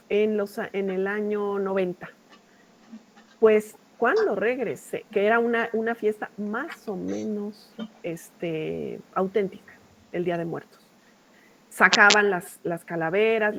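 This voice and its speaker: A woman's voice: lowish voice, creaky, a bit bright, a little hiss or hum, low-quality recording. A middle-aged woman with a Mexican accent teaching a class in an informal, discursive, conversational way, often checking in with short tag questions. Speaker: university lecturer.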